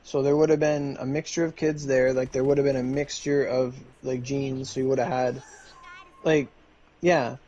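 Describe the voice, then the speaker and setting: A man's voice: medium pitch, little pitch movement, normal speed, warm tone, lo-fi sound. A man streaming, chatting casually in a rambling way full of fillers and restarts.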